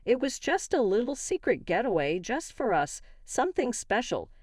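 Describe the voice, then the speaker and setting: A woman's voice: on the high side, big pitch swings, moderate pace, a little mellow, no room sound, pitched above the performer's own voice. Female narrator as haughty older mother-in-law in audio story: taunting, condescending, swinging intonation, dry sound. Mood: sweetly condescending. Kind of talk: acted dialogue in a narrated story.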